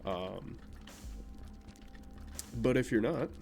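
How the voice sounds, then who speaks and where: A man's voice: fairly low pitch, very slow, creaky, a little mellow. A young man with a naturally nasal voice, rambling in casual gameplay commentary and chatting offhandedly about his life. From Vermont, USA. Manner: rambling, self-deprecating, offhand. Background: game music.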